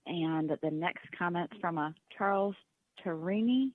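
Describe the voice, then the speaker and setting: A woman's voice: fairly low pitch, a little slow, no echo. Female clerk reading submissions aloud, dry and narrow telephone-like audio. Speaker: staff member reading public comments. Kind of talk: reading written comments aloud. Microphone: phone or conference call. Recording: dry, tinny phone-like line.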